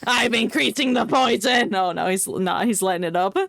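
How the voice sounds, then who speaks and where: A woman's voice: medium pitch, moderate pace, gravelly, a bit bright, dry recording. A woman streaming a game with casual, playful, joking commentary. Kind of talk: casual live gameplay commentary.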